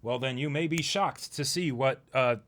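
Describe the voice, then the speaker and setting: A man co-hosting a podcast in casual chat, talking with excitement and rambling, full of "like" fillers. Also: mid-range voice, moderate pace. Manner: enthusiastic, rambling, many "like" fillers. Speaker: podcast co-host.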